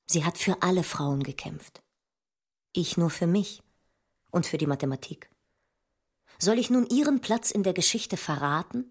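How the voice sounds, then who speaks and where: A woman's voice: lowish voice, darkish tone, breathy edge. An actress playing a reflective, self-questioning woman mathematician of the 19th century in a lyrical radio drama. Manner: intense, lyrical, dropping into whispers. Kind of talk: poetic radio drama monologue. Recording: music playing behind the voice.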